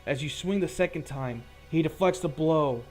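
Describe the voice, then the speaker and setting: A man running a tabletop roleplaying game as game master, improvising measured, descriptive combat narration between rules calls. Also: a little high, a little mellow, faint music behind.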